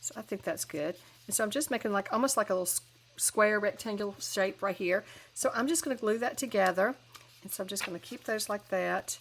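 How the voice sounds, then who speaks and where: A woman's voice: medium pitch, brisk, a little hiss or hum. A woman with a head cold, chatting casually through a crafting tutorial with lots of fillers and restarts. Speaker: crafting channel host.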